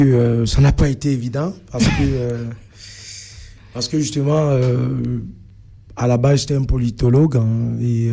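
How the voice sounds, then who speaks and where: A young man with a Cameroonian accent tells his own story as an interview guest. His voice is very soft-edged and dark, with little movement in pitch. He talks haltingly, filling the gaps as he searches for words. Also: creaky. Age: young adult. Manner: hesitant, halting delivery with frequent filled pauses. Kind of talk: personal account in an interview.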